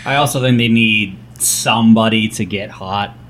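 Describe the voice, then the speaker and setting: A man guesting as a sports journalist on a casual podcast, giving thoughtful, measured analysis. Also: on the low side, a bit bright, slight background noise.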